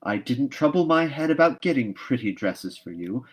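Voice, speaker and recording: A no-nonsense older woman from a storybook, as a man reads her aloud. She is stern and practical. She speaks in brisk, emphatic scoldings and clipped instructions. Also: medium pitch, very animated, husky, pitched above the performer's own voice. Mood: stern, sniffing.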